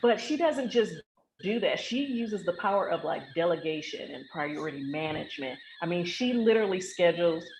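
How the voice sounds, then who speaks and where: A woman's voice: fairly low pitch, animated, normal speed, darkish tone. An American woman hosting a casual, unscripted podcast in a playful, emphatic, colloquial way. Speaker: podcast host. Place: hotel with people passing in the background. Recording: clearly noisy podcast audio with ringing.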